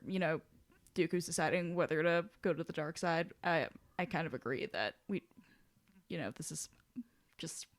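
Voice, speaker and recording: A woman's voice: fairly low pitch, a bit bright. A woman co-hosting a casual podcast discussion, thinking aloud with hesitant, thoughtful phrasing. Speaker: podcast co-host.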